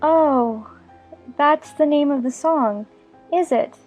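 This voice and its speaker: A high-pitched, polite young girl played by a woman speaks at a slow pace, curious and a little puzzled. It is storybook drama over soft music. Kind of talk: dramatized audiobook acting.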